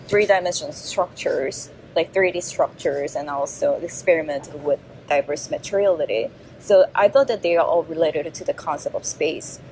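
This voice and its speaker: Soft-voiced Korean-accented woman. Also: lowish voice, slight background noise, rough recording. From South Korea. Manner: thoughtful, hesitant, many fillers. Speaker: graduating design student. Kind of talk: interview answers.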